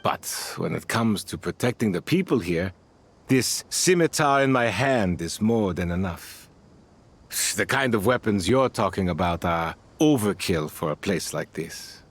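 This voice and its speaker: A man playing a weathered, kindly desert band leader in a game, speaking in a folksy, fatherly and unhurried way. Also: deep voice, lively intonation, full character voice. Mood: firm, calm. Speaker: voice actor in a game. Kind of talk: dramatic game voice acting.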